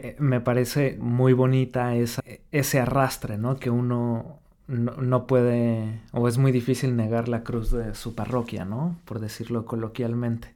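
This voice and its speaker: A man with a Mexican Spanish accent talks slowly and reflectively as an interview guest. He keeps pausing, restarting his sentences and adding little tag questions as he works through his ideas. His voice sits at an ordinary middle pitch. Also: darkish tone. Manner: halting and thoughtful, many fillers and restarts, frequent tag questions. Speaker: artist guest.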